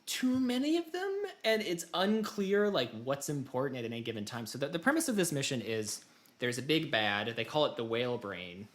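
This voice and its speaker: A man chats as a podcast host, fired up and exasperated about games. His mid-pitched voice swings widely up and down as he tells his story in a casual, conversational way. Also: sing-song, moderate pace. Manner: fired up, exasperated, mimics game prompts.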